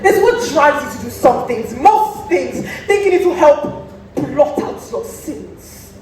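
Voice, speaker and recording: A woman's voice: sits high, expressive, takes it slowly, a little mellow. A Nigerian woman acting a stern, proud, accusing priestess in a stage play, with an imperious, declamatory delivery. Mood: stern, defiant. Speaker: student stage actor. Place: theatre stage, recorded from a distance. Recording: very echoey theatre, distant mic, faint noise.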